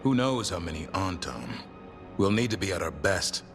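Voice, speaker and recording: A man's voice: sits low, lively intonation, moderate pace, creaky, over music. A man playing a sober, hard-headed fighter in a fantasy video game. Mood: wary. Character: sober, hard-headed male fighter questioning the battle plan.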